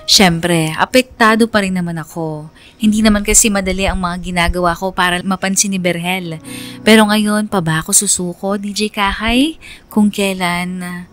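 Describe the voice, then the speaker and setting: Actress as young woman telling her love confession for radio drama, earnest, soft music behind. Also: mid-range voice, close to an everyday voice. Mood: anxious confiding. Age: young adult. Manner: confiding, earnest. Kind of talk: first-person radio drama narration.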